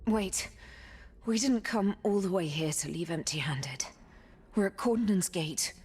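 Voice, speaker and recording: A woman's voice: on the low side, expressive, unhurried, a little mellow, breathy edge. A woman voicing a fierce, defiant young warrior daughter in a video game, blunt and combative. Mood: stubborn irritation. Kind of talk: video game cutscene acting.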